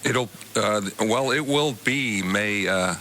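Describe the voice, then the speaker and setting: Older American male interview guest. Low pitch, clear forward edge, measured and halting, faint noise behind the voice. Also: pushed and bright. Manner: halting, measured, pauses between short phrases. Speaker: interview guest, college department chair and theater director. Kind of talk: radio interview, explaining.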